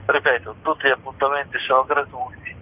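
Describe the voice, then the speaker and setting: A man's voice: medium pitch, level tone, brisk, slight background noise. A man being interviewed on radio, presenting information as an institution's representative over the telephone. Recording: muffled tinny phone line on radio.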